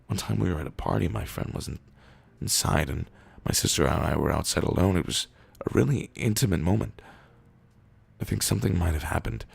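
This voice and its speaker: A man reading written internet posts aloud in a deadpan, comedic way, with a gravelly, husky voice and drawn-out pauses. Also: a bass voice, dry recording. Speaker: reader of internet copypasta posts.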